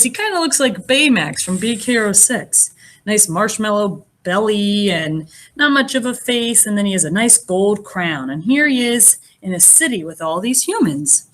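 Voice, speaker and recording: A woman reading a picture book aloud as a teacher would to young children, expressive and pausing to explain things in simple words. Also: medium pitch. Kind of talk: animated children's read-aloud with asides.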